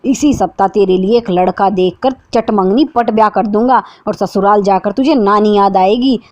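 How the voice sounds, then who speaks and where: A woman's voice: medium pitch, moderate pace, no room sound, close to an everyday voice. Woman voicing an enraged strict father, threatening, dry close sound. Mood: furious. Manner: shouting, threatening. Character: angry stern father, middle-aged man.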